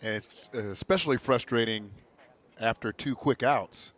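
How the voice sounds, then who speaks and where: A man's voice: medium pitch, moderate pace, fairly bright tone. An American man giving conversational color commentary on a baseball game. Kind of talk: conversational sports analysis.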